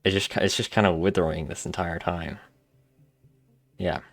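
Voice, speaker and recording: Deep-voiced man musing over game. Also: steady tone, moderate pace, vocal fry, a bit bright, dry recording. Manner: informal, musing aloud. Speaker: gaming video host. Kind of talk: casual game commentary. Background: faint game music.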